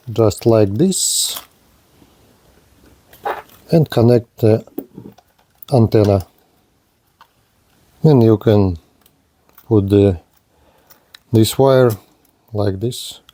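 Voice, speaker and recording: A man reviewing and demonstrating a radio, speaking in slow, halting. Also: on the low side, warm tone. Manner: slow, halting non-native phrasing. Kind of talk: product demonstration.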